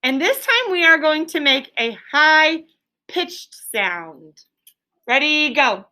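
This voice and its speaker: A woman's voice: high voice, big pitch swings, slow pace, sharp tone. A woman teaching an elementary class, quizzing pupils in a firm, directive manner. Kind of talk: classroom lesson with question and answer.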